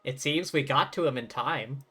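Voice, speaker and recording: A man streaming a story game, recapping and reading along deliberately with dry asides. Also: mid-range voice, bright, forceful tone. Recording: game music behind the voice.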